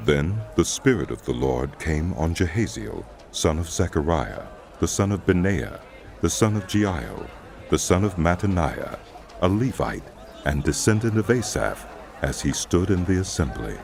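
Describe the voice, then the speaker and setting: A man narrating scripture as a scripted drama, telling the story in a solemn, measured way. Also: sits low, sing-song, vocal fry, a little mellow.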